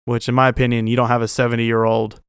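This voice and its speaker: A man's voice: medium pitch, completely flat delivery, fast talker, clear, resonant tone, no room sound, studio quality. A man in his twenties giving an opinionated, informal football commentary as a show host, blunt and emphatic. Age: 20s. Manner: emphatic, blunt, informal with mild slang swearing. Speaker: sports fan show host.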